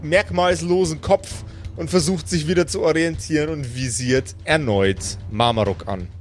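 High-voiced man as game master, music behind. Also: normal speed. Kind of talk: improvised narration of a tabletop game. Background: music under the voice.

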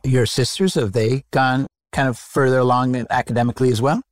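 Curious male interviewer, mid-pitched. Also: fairly even intonation, brisk, no room sound, studio quality. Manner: curious, chatty, affirming with short interjections. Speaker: host. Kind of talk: conversational interview.

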